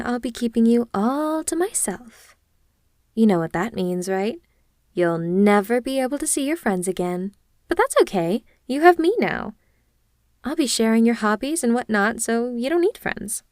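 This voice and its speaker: A lovesick yandere kidnapper played by a woman speaks in a fairly high voice, close and dry, as if to one captive listener. She is doting and possessive, yet flustered and hesitant, in the style of an ASMR roleplay. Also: no room sound, close to an everyday voice. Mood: smitten, rambling. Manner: gushing, hesitant and awkwardly earnest.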